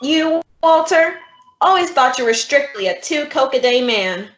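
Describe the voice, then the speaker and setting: A woman's voice: sits high, expressive, measured pace, fairly bright tone. Woman playing a wry schoolteacher in an echoey room. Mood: dry, questioning. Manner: dry, sardonic. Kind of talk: old-time radio comedy acting. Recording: echoey room, dull audio.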